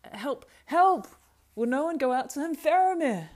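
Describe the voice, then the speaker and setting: A woman's voice: deep voice, moderate pace, darkish tone. A woman voicing a loyal male soldier on guard, grave and fervent, with solemn, impassioned, archaic speech in a storybook reading. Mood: desperate, urgent.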